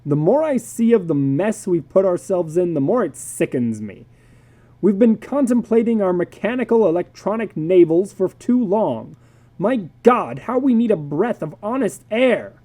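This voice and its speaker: Reader playing an exasperated, commanding father, lively pitch. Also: normal speed, natural voice. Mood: stern, disgusted. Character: fed-up, stern husband and father, adult man.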